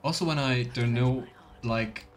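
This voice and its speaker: A man's voice: medium pitch, measured pace, faint music behind. A man giving casual, chatty let's play commentary with playful sarcasm. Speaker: livestreamer playing a video game.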